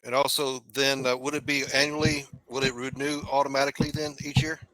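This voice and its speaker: A man's voice: mid-range voice, flat delivery, fairly quick, a crowd in the background, rough recording. A man from Texas calling in to a conference call, asking questions politely with hesitant phrasing.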